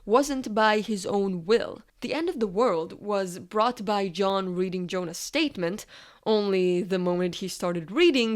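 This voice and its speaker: A woman reading a scripted, analytical video essay as its narrator. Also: mid-range voice.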